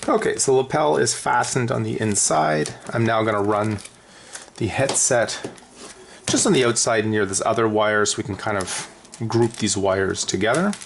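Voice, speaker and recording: A man's voice: on the low side, fairly even intonation, moderate pace, gravelly, slight background noise. A man talking casually and unscripted through a hands-on how-to as an aviation vlogger.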